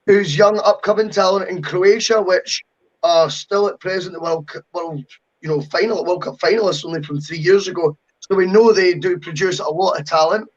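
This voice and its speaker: Talkative male podcast host, high-pitched and opinionated, muffled lo-fi recording. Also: moderate pace. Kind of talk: casual football podcast chat. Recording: dull lo-fi audio.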